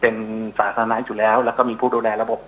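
A man's voice: mid-range voice, monotone, quick pace, phone-quality sound. A middle-aged man explaining as an expert guest in a phone interview, often searching for words. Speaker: interview guest, university researcher. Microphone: telephone call.

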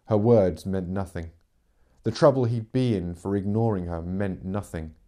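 A man's voice: sits low, moderate pace, warm tone. A middle-aged man reading fiction aloud as a narrator, in dramatic audiobook style. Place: living room, seated in armchair.

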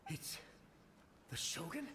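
An actor playing the desperate ghost of a samurai soldier in a video game, speaking with dramatic urgency. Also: male voice, slow pace, sharp tone, reverberant, a little hiss or hum. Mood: pleading.

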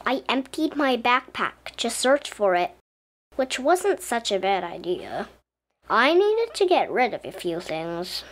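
A woman reading a children's story aloud in an expressive, deliberate storybook style. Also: on the high side. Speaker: children's book reader. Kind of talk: expressive scripted storybook narration as a young boy.

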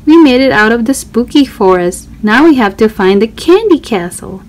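A woman voicing a cheerful, excitable young girl explorer in a children's storybook, exclaiming and asking listeners for help. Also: on the high side, moderate pace, natural voice. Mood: excited. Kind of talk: children's storybook read-aloud.

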